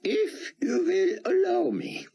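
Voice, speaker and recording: A man voicing an elderly, gentle, formal rabbi scholar with a thick Hebrew accent, speaking slowly and carefully. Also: very high voice, wildly swooping pitch, gravelly, voice pushed up, exaggerated voice. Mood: warm, formal.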